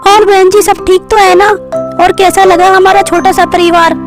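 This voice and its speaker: A woman's voice: extremely high pitch, little pitch movement, moderate pace, over music. A woman voicing a polite, sociable female relative in a cartoon family story, with a courteous, formal manner. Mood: gracious.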